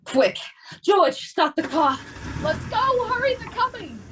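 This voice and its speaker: A woman playing a brash, excitable, slangy gal in an old-time radio comedy. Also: extremely high pitch, takes it slowly, very noisy, heavily acted. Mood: urgent. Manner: slangy, streetwise, ungrammatical talk. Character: brash, excitable gal in a scrappy road-trip gang.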